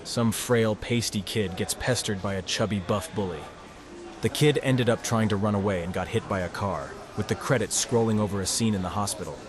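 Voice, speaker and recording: A man playing a cynical, self-deprecating high school student, narrating a visual novel in sardonic, muttering asides. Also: fairly quick, crowd noise, natural voice. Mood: dry, sardonic. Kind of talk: first-person scripted narration, visual novel dub.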